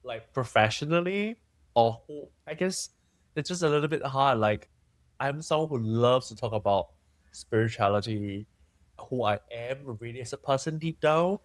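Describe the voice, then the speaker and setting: A young man from Singapore, talking candidly and thoughtfully as a podcast guest, often pausing and restarting. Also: medium pitch, no room sound. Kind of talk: candid conversational interview.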